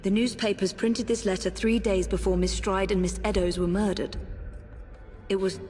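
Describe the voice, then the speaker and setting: An actress playing a determined Victorian woman detective in a video game, thinking aloud in a measured, purposeful way. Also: mid-range voice, fairly even intonation, dark tone, soft background music, lightly acted. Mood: pensive.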